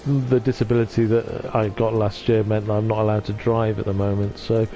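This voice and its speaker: A man from North Wales streaming from his sofa at home. He chats gently with his viewers and often stops and restarts. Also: lowish voice, flat delivery, brisk, rough recording. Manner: gentle, hesitant, with many restarts. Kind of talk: casual chat reading out viewer comments.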